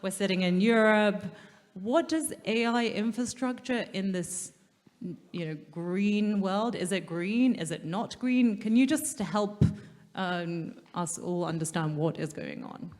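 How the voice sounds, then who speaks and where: A woman moderating a conference panel in a lively, chatty way, rephrasing technical points for the audience. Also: mid-range voice, a little slow. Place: large conference hall, stage. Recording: long hall reverb.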